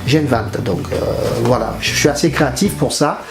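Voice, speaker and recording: A man's voice: mid-range voice, moderate pace, vocal fry. An older man from France, a painter talking casually in his studio, hesitant and often repeating his words. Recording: roomy studio with music over it. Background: guitar music.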